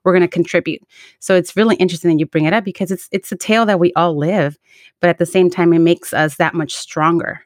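A woman's voice: on the low side, fairly even intonation, fast, no echo. Co-host woman in a dry room. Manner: reflective, mixes English and Spanish. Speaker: podcast co-host. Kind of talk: interview conversation.

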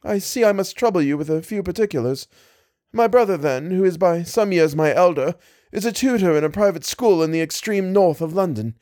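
A man voicing a distressed young Victorian woman who pleads in a low, murmuring voice with formal, ornate speech. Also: brisk, darkish tone, dry recording, studio quality, close to an everyday voice. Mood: earnest.

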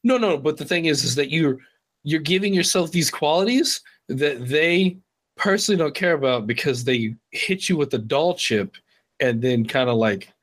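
A man's voice: a little high, animated, normal speed, a bit bright. A man co-hosting a podcast, talking casually with frequent restarts and the odd swear. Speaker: podcast co-host. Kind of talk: casual chat.

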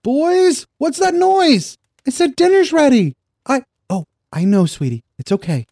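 A man's voice: very high voice, very animated, measured pace, vocal fry, mellow, no room sound, studio quality, voice pushed up, heavily acted. A man reading a story and voicing a harried, exasperated young single mother. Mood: calling out, impatient, then soothing. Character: harried, exasperated young single mom calling her boys.

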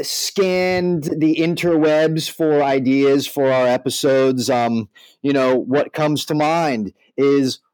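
A man's voice: mid-range voice, darkish tone, no room sound, rough recording. A man hosting a podcast in casual, informal, slangy talk. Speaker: podcast host.

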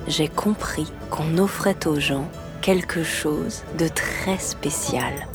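A woman recounting her own story slowly and deliberately, as a podcast guest. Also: low voice. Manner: slow and deliberate, for learners. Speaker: story guest speaking in French.

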